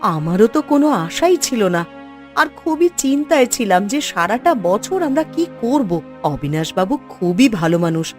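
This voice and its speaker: A woman's voice: mid-range voice, big pitch swings, measured pace, faint music behind. A woman voicing a caring village wife. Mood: warm supportive. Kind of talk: cartoon voice acting.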